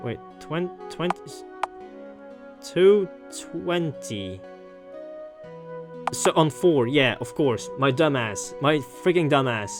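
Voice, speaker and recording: Girl doing a visual novel playthrough, casual n sweary, pitch moves a lot, game music behind. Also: expressive, slow, long pauses. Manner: casual, swears, mutters reactions to herself. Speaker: gaming video host. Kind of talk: visual novel let's play, reading dialogue aloud with casual commentary.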